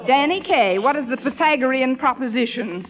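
A woman's voice: high-pitched, animated, moderate pace, heavy background noise. A woman playing a stern, exasperated American schoolteacher in a radio comedy, bossy and scolding. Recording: dull old radio recording, audience, music.

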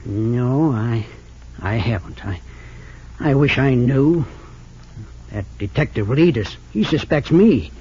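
A wealthy old patriarch with a heavily rasping voice, grave and disillusioned. His speech is formal and halting, in the manner of mid-century radio drama. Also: mid-range voice, animated, mellow. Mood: grave, troubled. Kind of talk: 1940s-50s radio drama acting. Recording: dull lo-fi old radio recording.

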